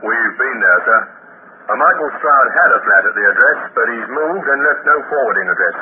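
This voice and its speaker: An actor playing a helpful, matter-of-fact police officer reporting details in a 1950s radio drama. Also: male voice, medium pitch, lively intonation, brisk, natural voice. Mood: brisk, dutiful. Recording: noisy, tinny 1950s radio recording.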